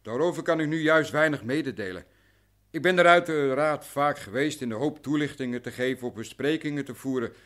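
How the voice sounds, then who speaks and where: An actor playing a cautious, worn-down merchant in a radio drama, explaining things formally and carefully. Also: male voice, mid-range voice, fairly quick, fairly bright tone, lightly acted. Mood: weary, explanatory. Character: cautious, anxious, worn-down male grain merchant.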